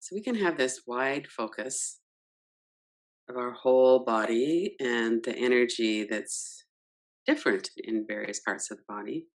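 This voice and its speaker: A woman leading a guided yoga nidra meditation, gentle and unhurried, guiding with soft questions. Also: rumbling low. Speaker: meditation teacher.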